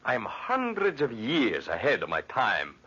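An actor playing an arrogant, tyrannical Italian master architect with an imperious, explosive manner in 1940s radio drama style. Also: male voice, medium pitch, huge pitch swings, a little slow, pushed and bright, husky, heavily acted. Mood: proud, impatient. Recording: noisy, muffled 1940s radio recording.

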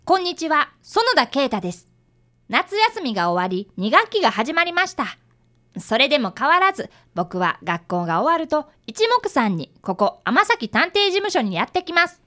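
A woman's voice: high voice, big pitch swings, normal speed, fairly bright tone. A woman playing a polite, earnest fifth-grade boy who is an apprentice detective and narrates a radio drama.

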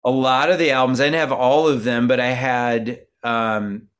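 A man's voice: medium pitch, little pitch movement, moderate pace, a bit bright. A middle-aged man from Minnesota, a musician guest in an interview, talking casually and rambling with many fillers and restarts. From Minnesota, USA.

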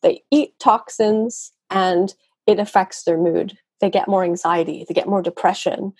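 A woman's voice: medium pitch, fairly even intonation, measured pace, a little mellow, slightly rough, no room sound. A woman, guest on a podcast interview over a video call, talking conversationally with fillers and restarts. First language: English. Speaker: guest, nutrition consultant.

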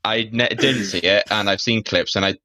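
Deep-voiced young man, rambling. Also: fairly even intonation, moderate pace, sharp tone, no room sound. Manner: rambling, filler-heavy, opinionated. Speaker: podcast co-host. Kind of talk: casual chat. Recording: dull, dry sound.

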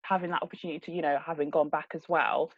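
Woman co-host, lowish voice, friendly, dry close mic. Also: little pitch movement, very fast, no room sound. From Congo, moved to UK at seven. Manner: upbeat, reads guest intro then chats. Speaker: podcast co-host. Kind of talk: casual podcast interview. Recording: dull, lo-fi.

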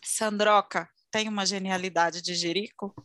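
A woman's voice: medium pitch, steady tone, moderate pace, a bit bright, no room sound. A woman hosting a podcast, chatty as she introduces segments and talks with her co-hosts. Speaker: podcast host. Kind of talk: casual chat.

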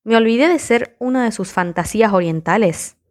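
A woman's voice: on the high side, fairly quick, a little mellow. A woman reciting spoken word poetry in a defiant, impassioned, rhythmic delivery.

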